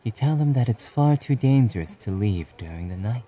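A man narrating a scripted second-person ASMR story in a soft, hushed, intimate manner. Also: mid-range voice, animated, normal speed.

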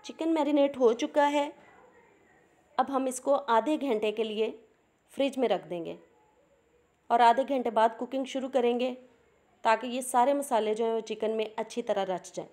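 A woman's voice: fairly high pitch, moderate pace, long pauses, darkish tone. A woman with a Pakistani Urdu accent, hosting a cooking channel and patiently explaining each step of a home recipe.

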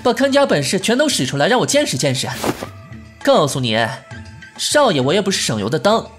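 Woman acting animated, defiant young gentleman. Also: on the low side, fairly quick, soft background music. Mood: defiant, provocative. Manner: indignant, theatrical, provocative. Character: brash, defiant, sharp-tongued young gentleman. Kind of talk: Chinese costume audio drama acting.

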